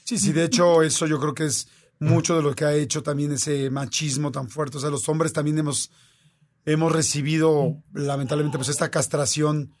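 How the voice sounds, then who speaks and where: A man's voice: on the high side, little pitch movement, moderate pace, darkish tone. A man with a Mexican Spanish accent, hosting a conversation and talking earnestly and animatedly. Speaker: host. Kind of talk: casual conversation.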